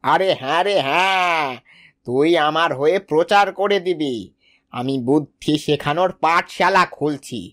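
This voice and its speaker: A man's voice: fairly high pitch, unhurried, fairly bright tone. A man voicing a sly, scheming male fox in a cartoon, speaking in a smug, crafty way. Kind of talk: cartoon voice acting.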